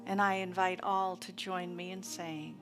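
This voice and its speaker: Woman minister, sermon and prayer delivery, reverent and unhurried, long pauses, soft music behind. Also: fairly low pitch, little pitch movement. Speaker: minister leading a service. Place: church service.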